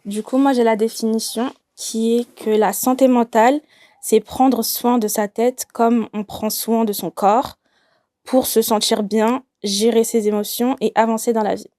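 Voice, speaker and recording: A woman's voice: fairly high pitch, steady tone, measured pace, warm tone, no echo, studio quality. A woman of twenty hosting a youth discussion panel, asking questions with some hesitant fillers. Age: 20s. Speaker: host.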